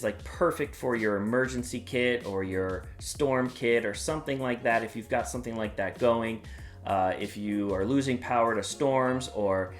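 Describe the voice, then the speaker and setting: Guy hosting his review channel, chatty and upbeat, bit of guitar music in the back. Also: fairly low pitch. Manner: upbeat, plain-spoken, with some "uh" fillers. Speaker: product reviewer and channel host. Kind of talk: casual product review vlog.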